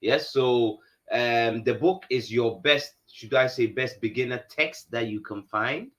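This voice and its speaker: A man from Ghana co-hosting a live Q&A stream, answering viewers softly and haltingly with many fillers. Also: medium pitch. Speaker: co-host.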